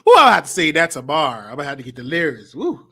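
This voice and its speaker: An American man hosting a live call-in show in a casual, animated, repetitive way. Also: a little high, quick pace, slightly rough. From Chicago, United States. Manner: animated, repeats himself. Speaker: online call-in show host.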